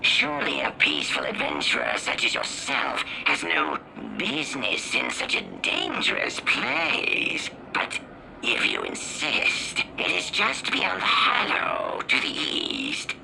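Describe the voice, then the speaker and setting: Slow, gravelly, cryptic alien storyteller. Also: male voice, mid-range voice, huge pitch swings, pushed and bright, slight background noise, heavily acted, rough recording. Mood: solemn, mysterious. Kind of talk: video game character narration.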